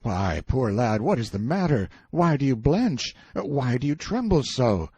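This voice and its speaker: A man's voice: medium pitch, big pitch swings, measured pace, dark tone, slightly rough, no echo, voice pushed up, heavily acted. A man playing a brash, self-assured man stranded in a medieval court, blunt, emphatic and colloquial, in an audiobook story. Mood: coaxing.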